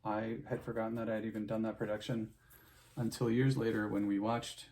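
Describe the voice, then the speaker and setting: A man's voice: lowish voice, little pitch movement, brisk, poor audio. A middle-aged man, an opera singer, chatting casually to his viewers in a loose, rambling way with lots of fillers and restarts. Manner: chatty, full of fillers and restarts, warm sign-off advice.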